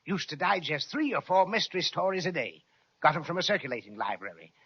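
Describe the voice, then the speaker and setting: An actor playing a vain, jolly crocodile with grandiose, flowery, drawn-out speech, in the style of an old children's radio serial. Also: male voice, a little high, animated, husky. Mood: boastful. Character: vain, jolly, theatrical crocodile who fancies himself a singer. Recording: dull, lo-fi old radio recording.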